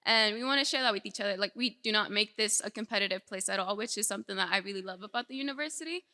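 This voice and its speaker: A woman's voice: medium pitch, rapid-fire, sharp tone. A young woman from El Salvador who grew up in Houston, giving a conversational, informal personal speech as a student. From born in El Salvador, raised in Houston from age seven. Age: young adult. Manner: conversational, frequent "like". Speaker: nursing student speaker. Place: large indoor hall, speaking to an audience. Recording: echoey hall with long reverb.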